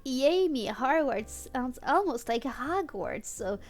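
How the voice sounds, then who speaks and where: A woman's voice: high voice, animated, takes it slowly, soft background music. A woman livestreaming a read-aloud of fan fiction on a headset, playful and mock-dramatic, with amused asides. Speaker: streamer and host.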